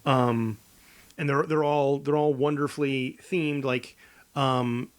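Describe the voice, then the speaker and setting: A man co-hosting a podcast, explaining ideas in casual chat with a halting, stammering delivery that repeats words. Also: medium pitch, fairly even intonation. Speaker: podcast co-host.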